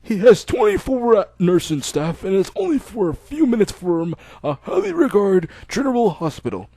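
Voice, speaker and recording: A man doing a calm older doctor. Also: high-pitched, normal speed, husky, no echo, faint music behind, pitched above the performer's own voice, exaggerated voice. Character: calm older male doctor, gently formal.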